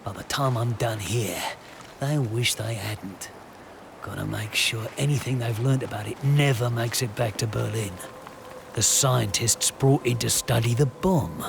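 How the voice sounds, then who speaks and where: An actor playing a dry, determined Allied army captain in a game, thinking aloud in terse, understated lines with a gravelly voice. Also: male voice, mid-range voice, a little slow, noisy background. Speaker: game protagonist.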